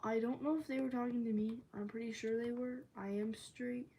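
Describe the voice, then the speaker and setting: A teenage girl chatting on her own livestream, rambling loosely with lots of "like" and now and then dropping into a soft whisper. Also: on the high side, steady tone, fairly quick, a little hiss or hum. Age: teens. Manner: rambling, chatty, filler-heavy talk with frequent "like". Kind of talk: casual livestream chat. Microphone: phone or tablet.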